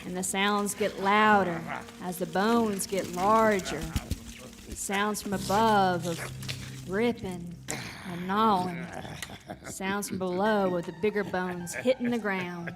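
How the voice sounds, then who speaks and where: A woman's voice: mid-range voice, steady tone, slow pace. A woman storyteller narrating a folksy horror tale live on stage, with dramatic phrasing and rustic dialect. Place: live stage in a recreation center.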